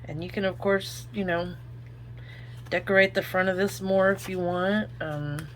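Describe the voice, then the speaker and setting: A woman's voice: fairly low pitch, steady tone, unhurried, slight background noise. A woman with an Oklahoma accent, chatting her way through a crafting tutorial and thinking aloud as she works. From Oklahoma, United States. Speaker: craft video host.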